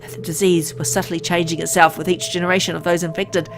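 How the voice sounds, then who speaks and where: A woman's voice: lowish voice, little pitch movement, quick pace, over music, lightly acted. A woman voicing a frank, secretive medical insider in a visual novel, explaining in an earnest, conspiratorial way. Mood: grave, explanatory.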